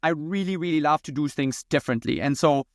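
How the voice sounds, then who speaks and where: Male founder as podcast guest, German accent, slightly high voice, conversational, dry close recording. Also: brisk, dry recording. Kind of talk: conversational interview answers.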